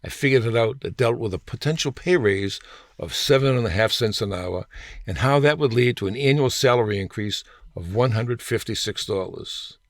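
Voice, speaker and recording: An older man in his sixties or above reading his own humorous personal essay in a wry, deliberate way with emphatic phrasing. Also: medium pitch, no room sound, studio quality. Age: 60s or older.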